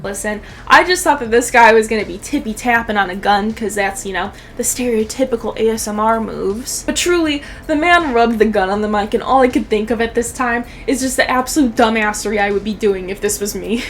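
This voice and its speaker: A young woman with a high voice reacts casually to videos. She jokes and swears freely in animated slang. There is some noise behind her. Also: fairly quick, hardly any pauses. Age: young adult. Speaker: YouTube commentator.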